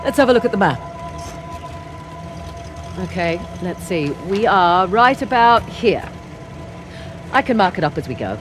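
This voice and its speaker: A woman's voice: medium pitch, big pitch swings, measured pace, pauses often, music in the background. A woman playing a brash, wry treasure hunter in a video game, with flippant, teasing banter. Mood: playful. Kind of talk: naturalistic video game voice acting.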